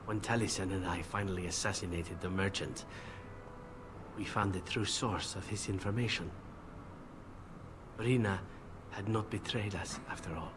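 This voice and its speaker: A man playing a weary, guilt-ridden elven assassin in a video game, speaking in a measured, solemn, confessional way. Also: low-pitched, heavy background noise, lightly acted. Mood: somber, bitter. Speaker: game companion character. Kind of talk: dramatic video game voice acting.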